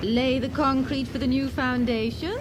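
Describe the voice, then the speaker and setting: A woman playing a sweetly dutiful but quietly murderous housewife in a parody how-to radio comedy, polite and matter-of-fact about calamity. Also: sits high, fairly even intonation, moderate pace, background noise, close to an everyday voice. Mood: agreeable.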